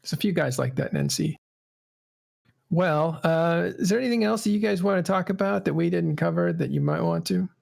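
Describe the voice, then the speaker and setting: A man's voice: high-pitched, a little fast, vocal fry, darkish tone. A man hosting a casual panel podcast, rambling and correcting himself as he goes. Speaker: podcast host.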